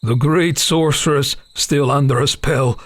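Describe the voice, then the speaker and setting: A man's voice: medium pitch, fairly even intonation, unhurried, no echo, close to an everyday voice. A man playing an uneasy half-elven father who tells a dramatic tale to his young daughter in a fantasy audio drama. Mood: dramatic storytelling. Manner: hesitant openings, dramatic storytelling.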